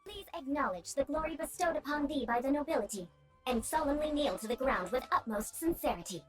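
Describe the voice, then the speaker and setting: Haughty young noblewoman for a game: formal, imperious, ornate, highly animated delivery. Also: fairly quick, rough recording. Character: haughty young noblewoman, prim, vengeful, awkwardly grandiose. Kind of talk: video game voice acting. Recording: game music behind the voice.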